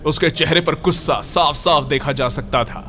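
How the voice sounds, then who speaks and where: A man's voice: a little high, normal speed, bright, forceful tone, soft background music. A man narrating a scripted horror story.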